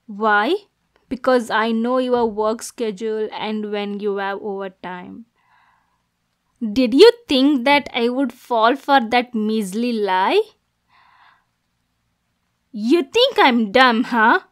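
Casting: woman to play jealous yandere girlfriend for ASMR roleplay; high voice, slow delivery, menacing yet cooing, possessive. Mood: angry, accusing. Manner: swings between soft cooing and angry, degrading outbursts. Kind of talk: ASMR roleplay, dramatic monologue.

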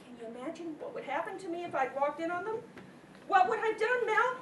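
A high, swooping voice carries from far off in an echoey room. It is a woman acting an exasperated, agitated wife in a stage comedy, hammering her phrases with frantic emphasis. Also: animated, fast. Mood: anxious, insistent. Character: frantic, exasperated wife whose home was burgled. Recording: echoey room, distant mic, background noise.

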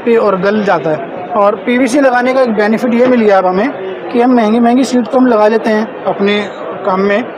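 Trade-show interviewer, man, very high voice, crowd noise behind. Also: normal speed, poor audio. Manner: chatty, polite, sums up points with "sir". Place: busy indoor exhibition hall.

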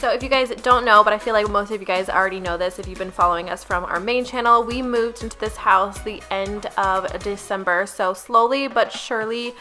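A woman's voice: mid-range voice, brisk, hardly any pauses, bright, forceful tone, faint music behind. A young woman talking casually over her cleaning footage, chatty and upbeat, speaking straight to her viewers. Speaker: lifestyle vlogger.

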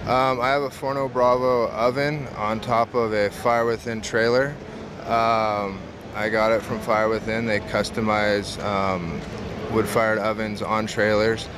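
Pizza business owner, 30s, male, low-ish voice; relaxed, filler-heavy answers; close camera in a noisy expo hall. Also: unhurried. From San Diego, California. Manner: relaxed, frequent "um" fillers, earnest. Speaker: mobile pizza business owner, interview guest. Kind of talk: casual interview answers. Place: large indoor trade show hall. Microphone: camera close to face.

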